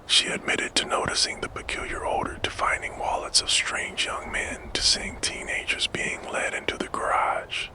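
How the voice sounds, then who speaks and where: A man telling a true crime story for sleep in a fully unvoiced ASMR whisper, with slow, suspenseful, dramatic phrasing. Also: low-quality recording. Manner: fully unvoiced whisper throughout, soft and deliberate. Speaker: narrator.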